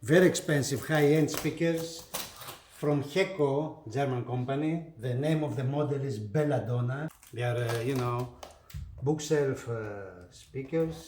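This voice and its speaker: A man who is not a native English speaker presents a product unboxing casually, speaking in short, halting phrases. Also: medium pitch, a little mellow, a little hiss or hum. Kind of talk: casual unboxing demonstration talk.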